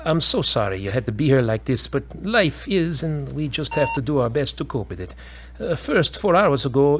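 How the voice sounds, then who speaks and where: A man's voice: mid-range voice, natural voice. Hesitant, earnest man acting as a doctor, varied intonation. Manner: hesitant, formal, explanatory. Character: apologetic male hospital doctor, earnest and hesitant. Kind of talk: audio drama dialogue.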